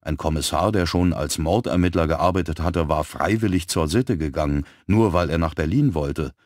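A man narrating an audiobook thriller in an even, composed manner, close to a studio mic. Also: a bass voice, moderate pace, no room sound. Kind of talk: scripted narration.

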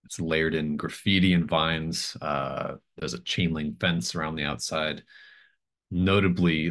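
A middle-aged man improvising narration as game master, thinking aloud in halting phrases. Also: rumbling low, a bit bright. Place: home room with bookshelves, video call.

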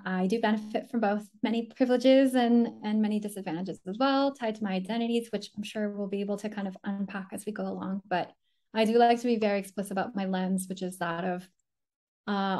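A woman's voice: mid-range voice, dark tone. A woman, raised in the US after a Colombian birth, explaining her work as an inclusive design consultant thoughtfully in a video call interview from home. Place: home room indoors. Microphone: laptop mic on a video call.

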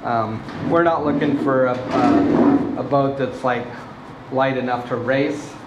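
A man in his thirties giving a relaxed, plainspoken walkthrough of a boat refit, with plenty of ums and uhs. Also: medium pitch, takes it slowly, highs cut off. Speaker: boat owner showing a refit. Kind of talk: casual walkthrough video. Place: inside a fiberglass boat hold and on deck. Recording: echoey hull with faint background noise.

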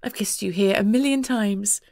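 A woman voicing a gentle, loving mother who speaks softly and soothingly to her child. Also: medium pitch, moderate pace, no room sound, clean studio sound, lightly acted. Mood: tender. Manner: soft, soothing, tender. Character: tender, gentle mother, warm and reassuring.

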